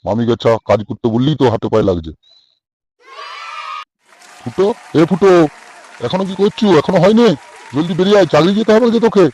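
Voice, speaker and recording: A man's voice: on the high side, animated, brisk, long pauses, mellow, some chatter behind, poor audio. A man voicing an irritable, crude middle-aged village father in a comic cartoon, scolding bluntly with a West Bengal accent. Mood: impatient, angry.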